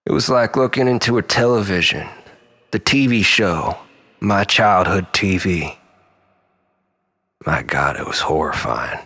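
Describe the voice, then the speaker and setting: A man delivering a dramatic, confessional spoken-word monologue in a brooding, theatrical manner, often dropping toward breathy near-whispers, with a creaky voice. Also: low-pitched, animated, unhurried, pauses often, pushed and bright. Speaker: storyteller, monologist.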